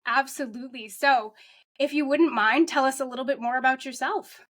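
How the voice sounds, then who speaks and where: High-voiced, effusive woman podcast host. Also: brisk, a bit bright. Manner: gushing, effusive. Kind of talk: enthusiastic interview chat.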